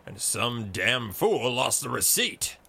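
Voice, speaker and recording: A man's voice: fairly high pitch, wildly swooping pitch, a little slow, creaky, bright, forceful tone, slightly rough, heavily acted. A man voicing a fiery, hearty explorer colonel from a fantasy story, boisterous and indignant. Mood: indignant bluster.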